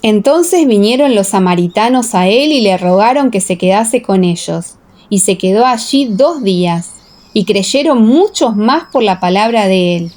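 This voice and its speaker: A woman's voice: medium pitch, lively intonation, unhurried, a little mellow. A woman reading scripture as the narrator of a dramatized audiobook.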